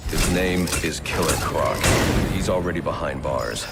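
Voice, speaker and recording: Stoic masked crimefighter for a game: rock-bottom growling register, deadpan, clipped lines, over a loud score. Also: male voice, a little slow, loud music behind the voice. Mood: terse, grim. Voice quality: deep gravelly growl. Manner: terse, deadpan. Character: grim, stoic masked vigilante detective, a man. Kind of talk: video game cutscene acting.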